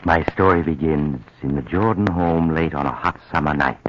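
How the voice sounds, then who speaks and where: A man's voice: very deep voice, normal speed, loud music behind the voice. An actor playing a sinister male narrator who leads listeners into a creepy radio tale, in 1940s radio style. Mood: ominous. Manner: teasing, ominous delivery. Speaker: narrator host. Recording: muffled old radio broadcast with hiss.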